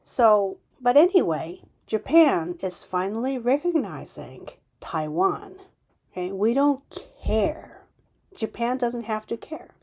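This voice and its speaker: Woman podcast host, unscripted, slow and halting delivery with emphatic outbursts. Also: medium pitch, big pitch swings, dark tone. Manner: halting, many restarts and fillers, emphatic bursts. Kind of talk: unscripted solo podcast monologue.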